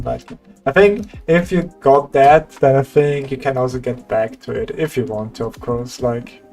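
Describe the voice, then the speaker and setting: A man's voice: medium pitch, a little mellow. A young man chatting casually with viewers on a stream, laid-back and laughing often. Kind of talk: casual livestream chat.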